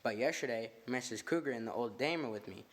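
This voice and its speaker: A man's voice: medium pitch, fast, close to an everyday voice. A man playing a slangy, streetwise tough-guy chauffeur. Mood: wheeler-dealing. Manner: slangy, drawling, ungrammatical gangster talk. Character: tough-talking male chauffeur and bodyguard, streetwise and greedy. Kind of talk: old-time radio acting.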